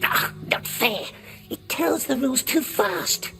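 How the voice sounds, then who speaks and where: A woman's voice: high-pitched, very animated, takes it slowly, vocal fry, fairly bright tone, faint music behind, heavily acted. A woman playing a sly, childish, wheedling creature in a video game, blurting short phrases and speaking of itself in the third person. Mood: competitive, petulant. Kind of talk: video game character acting.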